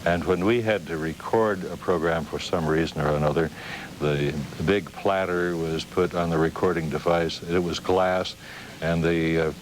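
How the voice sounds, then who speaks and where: Deep-voiced older American interviewer. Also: male voice, steady tone, normal speed. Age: older, around 70. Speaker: television interview host. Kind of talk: conversational interview. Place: television studio. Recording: dated TV broadcast with faint noise.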